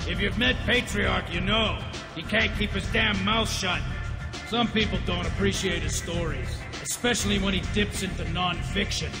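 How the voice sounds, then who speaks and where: A man's voice: high voice, moderate pace. A man playing a brusque alien henchman in a video game, blunt, businesslike and dismissive. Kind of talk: dramatic video game acting. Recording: long echoing reverb under music.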